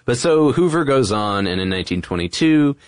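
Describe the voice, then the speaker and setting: A man's voice: medium pitch, sing-song, a little fast, clear, resonant tone, dry recording. A man co-hosting a podcast, explaining history in a casual, conversational and informal way. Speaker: podcast co-host.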